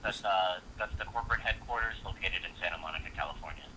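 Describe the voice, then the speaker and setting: A man's voice: deep voice, fast talker, bright, forceful tone. A man working as a customer support agent on a phone call, speaking in a polite, informal and apologetic way. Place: on the other end of a phone call. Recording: phone line with faint noise.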